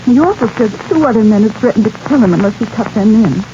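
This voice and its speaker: A woman's voice: on the high side, expressive, lightly acted. A woman acting a composed, polite bereaved daughter in a 1940s radio drama. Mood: composed, sorrowful. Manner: polite, measured, proper. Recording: muffled, noisy 1940s radio recording.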